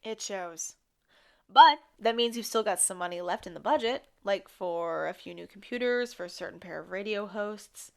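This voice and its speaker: A woman's voice: mid-range voice, moderate pace, gravelly, close to an everyday voice. A woman playing an outspoken radio host in an audio drama, with a dry, pointed, sardonic way of talking. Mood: dry, bargaining. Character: outspoken, exasperated female radio host, wry and determined.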